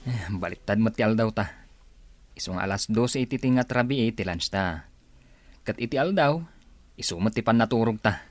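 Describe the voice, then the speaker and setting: A man's voice: lowish voice, normal speed, long pauses, no room sound, close to an everyday voice. A man acting a frank, generous co-worker with a Filipino accent, talking chummily in a radio drama. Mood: chummy. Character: friendly, blunt male co-worker, generous buddy.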